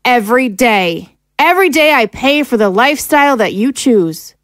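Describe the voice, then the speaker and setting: A woman's voice: on the high side, expressive, normal speed, dry recording, studio quality, natural voice. A woman playing a hurt, fed-up wife and mother in an audio drama, pleading and accusatory as she confronts her husband. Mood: frustrated. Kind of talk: dramatic audio screenplay acting.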